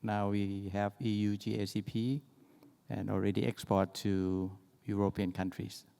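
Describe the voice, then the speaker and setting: A man's voice: fairly low pitch, level tone, unhurried, creaky, mellow, smooth. A man, a farm owner with an engineering background, introducing himself in brief, simple sentences. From Thailand. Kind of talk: panel introduction. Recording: conference hall reverb.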